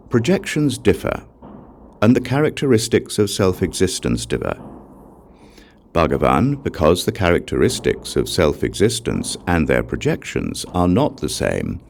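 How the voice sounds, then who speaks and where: A man narrating a Buddhist scripture as an audiobook, reading in a measured, deliberate and formal way. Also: sits low, gravelly, a little mellow, slight background noise.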